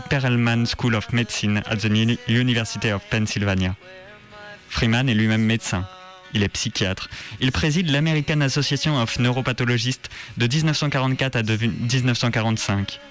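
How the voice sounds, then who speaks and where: A man with a French accent presenting on radio in a slightly halting manner. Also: mid-range voice, a bit bright. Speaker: radio show contributor. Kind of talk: radio presenting, partly read from script.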